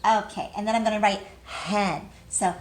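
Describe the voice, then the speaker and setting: A woman's voice: mid-range voice, fairly even intonation, moderate pace, slight background noise. A woman teacher leading a read-aloud lesson for young children in simple, encouraging language, asking questions and answering them herself.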